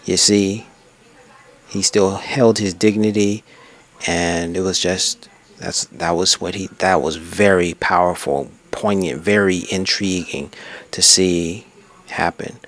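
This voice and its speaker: A low-pitched male travel vlogger talks over his own pictures at a desk in a room. His delivery is unscripted and reflective, wandering casually from one memory to the next. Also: fairly even intonation, slow pace, fairly bright tone. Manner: rambling, reflective, reminiscing. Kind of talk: casual unscripted slideshow narration.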